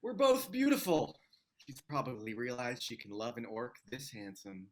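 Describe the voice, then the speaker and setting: A man playing a vain, flirtatious orc who smooth-talks and bickers playfully in a fantasy comedy table read. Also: mid-range voice, lively intonation, moderate pace, lightly acted. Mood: smug, coaxing. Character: cocky, flirtatious, vain male orc charmer.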